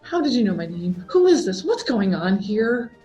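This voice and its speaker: A woman's voice: medium pitch, big pitch swings, moderate pace, loud music behind the voice, close to an everyday voice. A woman voicing a startled, incredulous young heroine who exclaims anxiously in a scary story. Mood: petrified. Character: startled, disbelieving young woman, defiant heroine of horror tale.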